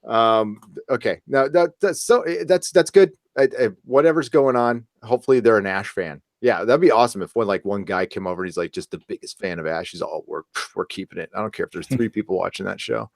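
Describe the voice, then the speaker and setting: A man's voice: on the low side, a little fast, gravelly, few pauses, no echo, studio quality. Rambling man hosting a casual podcast. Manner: rambling, profane, joking. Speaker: podcast host. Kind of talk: casual live podcast chat.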